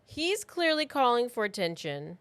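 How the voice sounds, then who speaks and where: A woman's voice: on the high side, very animated, moderate pace, a bit bright, no room sound. A woman co-hosting a podcast, casually telling a true crime story in an informal, conversational way. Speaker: podcast co-host.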